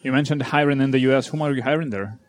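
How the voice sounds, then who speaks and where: A man hosts an interview and puts questions to his guest. He halts often, restarting phrases and searching for words as he goes. His voice sits at an ordinary middle pitch. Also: fast, no room sound. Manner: hesitant, many fillers and restarts.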